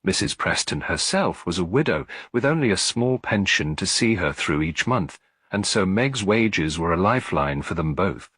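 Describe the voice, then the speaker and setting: A man's voice: low-pitched, very animated, moderate pace, fairly bright tone, breathy edge, dry recording. A man narrating a scripted cozy mystery story as an audiobook reader.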